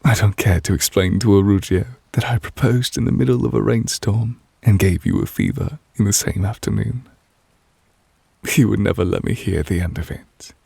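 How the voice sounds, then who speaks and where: A man playing a devoted, possessive yandere witch in an intimate ASMR roleplay, speaking softly and breathily, often near a whisper. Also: lowish voice, vocal fry, slightly rough, no room sound, heavily acted. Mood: tender. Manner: slow, tender, murmured endearments.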